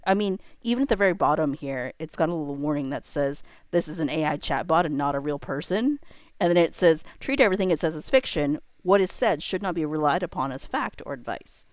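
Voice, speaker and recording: A woman's voice: low voice, animated, fairly quick, dry recording. A millennial woman livestreamer chatting casually and reflectively to viewers, rambling and hedging as she lets thoughts trail off. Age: millennial, around 30s.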